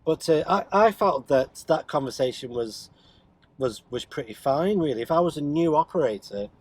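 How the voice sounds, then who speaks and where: A man's voice: a little high, expressive, moderate pace, husky. A man chatting casually and unscripted as he demonstrates radio gear, hesitant and thinking aloud as he goes. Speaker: radio hobbyist vlogger demonstrating CB radio. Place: inside a car. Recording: faint vehicle noise behind the voice.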